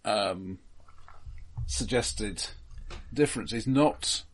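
Man with a slightly husky voice, halting, analytical. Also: lowish voice, low-quality recording. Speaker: film podcast co-host. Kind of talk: casual film discussion.

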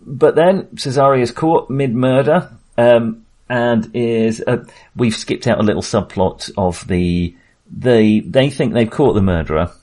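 A man's voice: fairly low pitch, darkish tone. A man hosting a film podcast, talking casually and hesitantly, often circling back to rephrase what he has started.

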